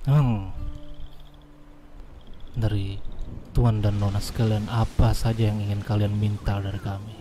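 A man's voice: on the low side, little pitch movement, measured pace, long pauses, noisy background. A man roleplaying in an online game, speaking formally and deferentially, with some hesitation as he negotiates. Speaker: roleplay gamer playing a gang leader. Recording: music behind the voice. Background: music.

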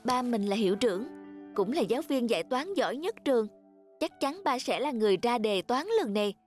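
High-pitched proud schoolgirl. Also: big pitch swings, fairly quick, a little mellow, faint music behind. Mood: determined. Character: proud, competitive schoolgirl, the principal's daughter. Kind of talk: animated cartoon voice acting.

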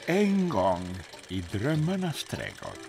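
A man in his sixties narrating a children's bedtime story in a soft, lulling, sing-song way, over gentle music. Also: medium pitch, very animated, creaky. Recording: studio narration with music behind.

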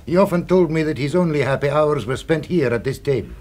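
An actor playing an effusively courteous, secretive restaurant proprietor in a 1970s radio drama, husky and given to ending remarks with "eh?". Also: male voice, a little high, a little fast, darkish tone, close to an everyday voice. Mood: ominous, philosophical. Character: unctuous, secretive male restaurant proprietor, darkly philosophical.

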